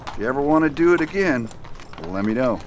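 An actor playing a steady, determined male US marshal in a Western radio drama, commanding and earnest. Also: mid-range voice, quick pace, a little hiss or hum, natural voice. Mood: warm. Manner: commanding in action, earnest and friendly at rest. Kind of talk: amateur radio drama acting.

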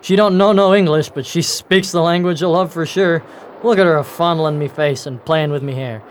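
An actor playing a lecherous, ruthless pirate captain in a radio drama, blustering in theatrical old seafaring talk. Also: male voice, a little high, moderate pace, a little hiss or hum, close to an everyday voice. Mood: lusty, boastful.

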